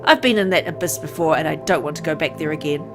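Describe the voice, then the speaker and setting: A woman's voice: low-pitched, fast talker, over music. A woman reading dramatic first-person visual novel narration aloud in a brooding, theatrical way.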